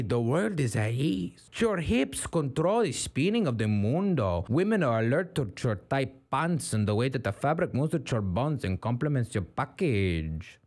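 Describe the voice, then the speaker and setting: A man's voice: medium pitch, darkish tone, voice pushed down. A man playing a flirtatious lover, in a seductive, flowery comic monologue. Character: sultry, flirtatious Latin lover who adores dancing.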